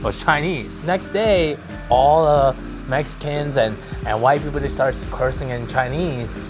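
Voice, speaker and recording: A male chef whose first language is Cantonese, explaining his methods in a relaxed, plain way while cooking in a kitchen. His pitch sits at a middle level. Clear music plays under his voice. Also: unhurried. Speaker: chef guest. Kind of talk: casual cooking chat. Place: home-style kitchen, indoors.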